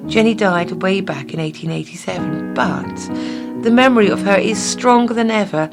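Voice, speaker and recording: A woman's voice: mid-range voice, normal speed, loud music behind the voice. A woman narrating a scripted feature for a talking newspaper, reading from prepared text.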